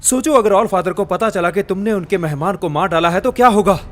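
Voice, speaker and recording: Young male trickster, cheeky, high-pitched, slightly off-mic. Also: brisk, a bit bright. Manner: pleading, cheeky. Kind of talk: dubbed game cutscene.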